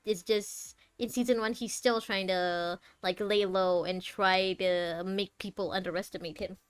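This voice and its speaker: A woman's voice: mid-range voice, steady tone, measured pace, clean tone. A woman livestreaming a game, chatting casually as she reacts to the story and to her viewers. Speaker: game streamer. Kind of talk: casual livestream commentary over gameplay.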